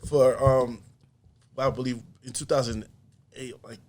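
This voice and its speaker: A young man talking casually as a podcast guest, in a relaxed, rambling way with frequent laughs. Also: medium pitch, measured pace. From USA. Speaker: podcast guest, artist and comedian. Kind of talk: casual conversation.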